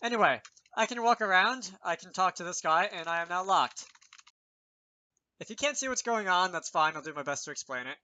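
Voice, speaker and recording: A man's voice: sits high, lively intonation, fairly quick, bright, forceful tone. A man presenting an informal programming tutorial, thinking aloud with self-deprecating asides as he explains. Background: keyboard typing and mouse clicks.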